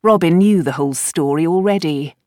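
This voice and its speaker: Female audiobook narrator, slightly low register, polished and expressive storytelling, dry close-miked recording. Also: brisk, dry recording. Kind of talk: scripted fiction narration.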